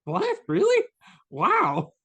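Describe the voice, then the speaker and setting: A woman's voice: extremely high pitch, huge pitch swings, drawn-out words, fairly bright tone, full character voice. A woman voicing a small, eager bunny errand boy in a live reading, stammering and hesitant. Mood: amazed.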